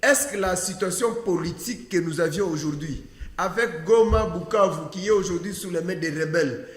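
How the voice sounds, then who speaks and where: High-pitched Congolese man, reverberant room. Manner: didactic, rhetorical questions, deliberate emphasis. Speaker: political party official, panel guest. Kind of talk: political debate interview. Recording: echoey hall-like room with long reverb.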